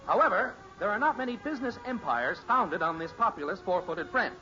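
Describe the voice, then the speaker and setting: A man's voice: high-pitched, expressive, fairly quick, bright, forceful tone, faint music behind. A man narrating an old radio show in a wry, mock-grandiose, formal announcer style. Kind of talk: scripted 1950s radio narration. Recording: dull old radio recording.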